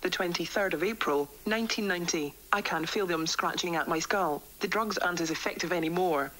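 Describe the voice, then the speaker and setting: A doomed woman doctor, played by an actress, records her grim diary for an analog horror tape. Her voice is on the low side, and she speaks in somber, measured, short statements. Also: a bit bright, slight background noise, natural voice, low-quality recording. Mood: despairing, wistful.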